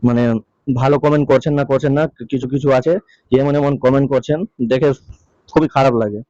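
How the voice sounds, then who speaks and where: A man speaks quickly as a casual video host. His voice is mellow and fairly even, recorded dry and a little dull. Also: medium pitch, dry recording. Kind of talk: casual vlog update. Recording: dull, lo-fi close dry sound.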